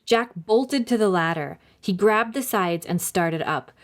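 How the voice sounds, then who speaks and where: A woman narrating a children's story in a dramatic, clearly phrased, expressive reading style. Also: mid-range voice, a little slow.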